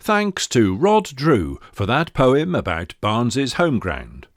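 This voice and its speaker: Very animated male poetry presenter. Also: mid-range voice, takes it slowly, no echo, studio quality. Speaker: presenter introducing poems. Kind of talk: scripted narration.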